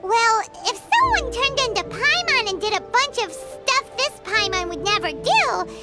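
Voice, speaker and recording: A tiny, excitable fairy companion speaks in a very high, sing-song voice that leaps up and down. She chatters about herself in the third person with bubbly energy. Loud game music plays under her. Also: unhurried, heavily acted. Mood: thoughtful. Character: small chirpy female fairy companion. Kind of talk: game voice acting.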